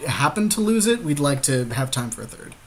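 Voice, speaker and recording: A man's voice: fairly high pitch, big pitch swings, brisk, noisy background. A man co-hosting a podcast, giving casual running commentary on a card game with his partner.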